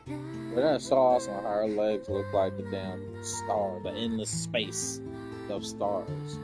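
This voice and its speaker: A man's voice: fairly low pitch, fairly even intonation, takes it slowly, over loud music. A man giving casual, incredulous gameplay reaction commentary as a streamer, with casual swearing. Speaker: game streamer.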